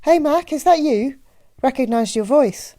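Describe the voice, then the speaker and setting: A woman's voice: sits high, animated, a little slow, warm tone, dry recording, studio quality, pitched above the performer's own voice. A woman voicing a matronly, teasing small-town postmistress with a Midwestern accent and a chatty, folksy manner in an audiobook. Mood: friendly recognition. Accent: Midwestern American. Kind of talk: audiobook character voice.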